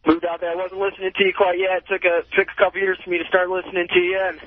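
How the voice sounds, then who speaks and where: A man on a tinny, dry phone line, talking fast and earnestly, his voice a little raised. He sounds grateful and sincere. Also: high voice, level tone. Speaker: caller. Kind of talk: call-in radio talk.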